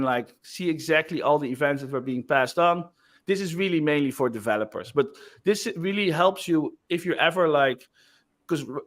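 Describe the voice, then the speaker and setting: Male presenter, slightly high voice, relaxed, casual walkthrough of a software feature. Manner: loose and chatty, frequent "like" and "uh" fillers. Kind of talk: casual screen-share product demo.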